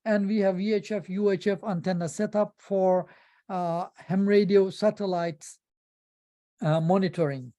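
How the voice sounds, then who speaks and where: A woman giving an informal slide presentation about her amateur radio work, in halting with frequent pauses. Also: mid-range voice, steady tone, mellow. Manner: halting non-native English with frequent pauses.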